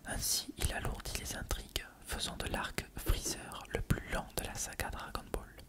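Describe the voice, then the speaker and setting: An ASMR host who speaks entirely in an unvoiced whisper. The delivery is measured and explanatory, like an encyclopedia article being read aloud for a listener. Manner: measured, explanatory, reading from text.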